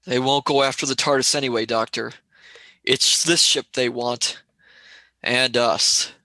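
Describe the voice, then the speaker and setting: Man playing bright, impatient young traveller. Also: mid-range voice, fairly even intonation, unhurried, no room sound. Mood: agitated. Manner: impatient, worried, blunt. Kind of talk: sci-fi radio play acting.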